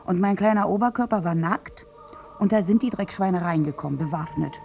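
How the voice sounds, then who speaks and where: A woman's voice: fairly low pitch, fairly quick, vocal fry, darkish tone, a little hiss or hum. An actress playing a bitter, wounded village woman who survived a massacre, in a theatrical, morbidly wry radio drama monologue. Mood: haunted, defiant.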